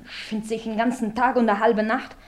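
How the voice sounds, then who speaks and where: Pert, sharp-tongued maid acted by a woman. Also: on the high side, little pitch movement, natural voice. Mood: defiant. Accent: Silesian. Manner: pert, earthy, quick to answer back. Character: brash, sharp-tongued young servant maid, defiant and teasing. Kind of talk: naturalistic German radio drama acting.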